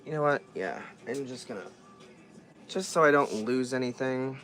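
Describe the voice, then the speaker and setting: A man's voice: mid-range voice, expressive, normal speed, a bit bright, faint music behind. A man chatting casually as he works on a repair, thinking aloud and often trailing off and restarting his sentences. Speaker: vlogger repairing dolls. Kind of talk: casual chat while working.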